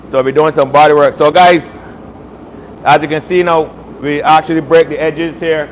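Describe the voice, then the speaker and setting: A man's voice: fairly high pitch, fairly even intonation, normal speed, a little hiss or hum, poor audio. A man from Barbados with a Barbadian accent, chatting casually on a live stream while doing body work in an auto shop. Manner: laid-back, repeats "man" and "you know what I mean".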